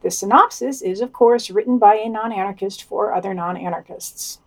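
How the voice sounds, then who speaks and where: A woman's voice: mid-range voice, moderate pace. A woman reading scripted podcast news items and adding short asides of commentary.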